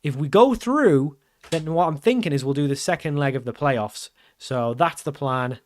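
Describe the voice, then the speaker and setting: A man commenting casually over his football management game, getting loud and excited at the big moments. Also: on the high side, normal speed. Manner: excitable, shouts at big chances and goals. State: losing his voice. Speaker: game streamer.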